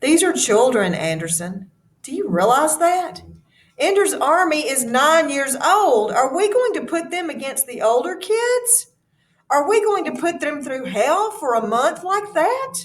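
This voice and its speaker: A woman's voice: high voice, very animated, measured pace, pitched above the performer's own voice. A woman reading a novel aloud as a skeptical middle-aged male army captain, exasperated and challenging. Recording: very echoey room, distant mic.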